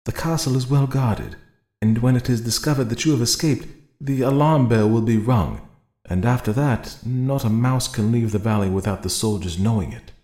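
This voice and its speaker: Guy reading as a careful young jailer, sly and measured, kinda roomy echo. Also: mid-range voice, dark tone, voice pushed up, natural voice. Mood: cautious. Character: young male gaoler, cautious and shrewd.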